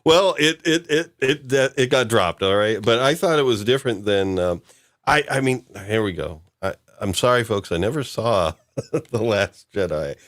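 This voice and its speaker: A man's voice: lowish voice, no room sound, clean studio sound. A man hosting a casual podcast discussion, rambling with many restarts and chuckles. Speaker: podcast host.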